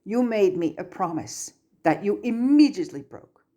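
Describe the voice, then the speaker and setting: A woman's voice: medium pitch, lively intonation, normal speed, dark tone, pitched above the performer's own voice, lightly acted. Stern male guardian, reproachful, curt, storybook character, voiced by a woman. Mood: stern, barking. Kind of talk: audiobook character reading.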